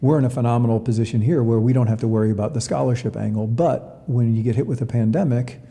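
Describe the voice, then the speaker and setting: A man giving earnest, measured interview answers as an athletics director. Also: medium pitch, warm tone. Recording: long reverberant hall echo.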